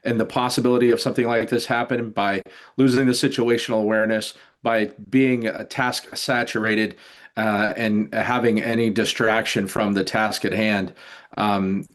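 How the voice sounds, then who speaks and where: An older man, an expert guest in a news interview, explaining in a measured, halting way. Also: lowish voice. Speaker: retired air traffic controller, expert guest.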